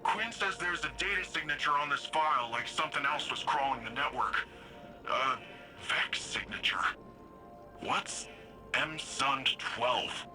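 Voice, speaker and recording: A man's voice: medium pitch, a little slow, bright, forceful tone. An actor playing a casual, curious younger man, informal and inquisitive, in a video game. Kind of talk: scripted game dialogue over radio. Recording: music behind the voice.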